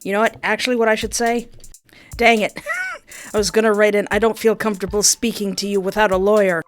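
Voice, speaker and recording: A woman game streamer with a playful, expressive way of talking, reading narration aloud and commenting as she plays. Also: mid-range voice, fairly quick, faint music behind.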